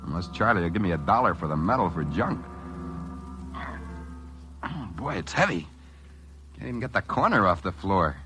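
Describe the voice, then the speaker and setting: An American actor playing an excitable, work-shy schemer who stammers when flustered, in mid-century radio drama style. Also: male voice, low-pitched, moderate pace, pauses often. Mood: grumbling. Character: work-shy scheming dreamer who finds a wishing machine. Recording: vintage radio recording with faint music.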